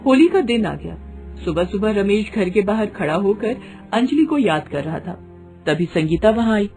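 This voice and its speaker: A woman's voice: mid-range voice, animated, normal speed, mellow, soft background music. A woman narrating a scripted bedtime story in a dramatic storytelling style.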